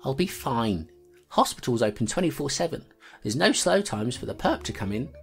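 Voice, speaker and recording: A woman playing a low-voiced dogged constable. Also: sing-song, creaky, faint music behind, exaggerated voice. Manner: brisk, reasoning aloud, blunt.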